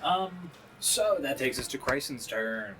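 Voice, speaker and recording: A man running a tabletop game as game master, narrating casually and pausing as he goes. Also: medium pitch, expressive, a crowd in the background, low-quality recording. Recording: music playing behind the voice. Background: background music.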